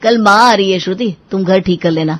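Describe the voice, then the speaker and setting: A woman's voice: medium pitch, brisk, natural voice. A woman voicing a mild, henpecked young husband who talks in a friendly, placating way in an audio story.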